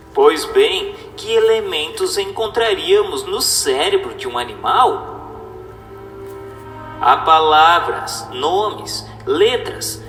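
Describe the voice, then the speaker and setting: A man giving a scripted, solemn reading in a formal, didactic and deliberate manner, as a narrator. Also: fairly high pitch, fairly bright tone. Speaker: narrator reading a spiritist text. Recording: echoey reverberant voice with music. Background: music.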